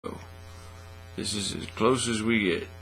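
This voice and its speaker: A middle-aged man giving a casual, folksy, unhurried how-to demonstration at an indoor hobby bench. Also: on the low side, steady tone, creaky, fairly bright tone, low-quality recording. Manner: folksy, unhurried step-by-step talk. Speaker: hobby craft tutorial host. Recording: faint mains hum and noise.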